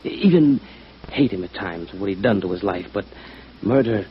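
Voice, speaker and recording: Low male voice, sensitive anguished musician, played by an actor, halting fervent repetitions, vintage radio melodrama, muffled noisy recording. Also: expressive, natural voice. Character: anguished, passionate male violinist, proud and sensitive. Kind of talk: 1950s radio drama acting.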